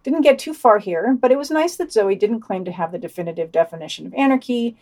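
A woman's voice: medium pitch, expressive, quick pace, a little mellow. Dry-witted woman podcast co-host. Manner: wry, dry asides. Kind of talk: reading and commenting on news.

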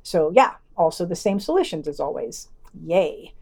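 A woman's voice: fairly low pitch, expressive, normal speed, darkish tone. A woman reads a scripted news digest as a podcast co-host, adding dry, sardonic asides.

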